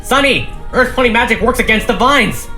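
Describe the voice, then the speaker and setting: A narrator voicing a protective, excitable young male pony with an urgent, earnest manner in a cartoon audio drama. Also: medium pitch, brisk, faint music behind, voice pushed up. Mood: excited realization.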